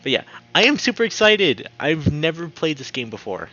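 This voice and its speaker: A man hosting a game livestream, chatting casually and excitably with his viewers. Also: on the high side, normal speed, muffled recording. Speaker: game streamer and host. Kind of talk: casual livestream chat while playing a visual novel.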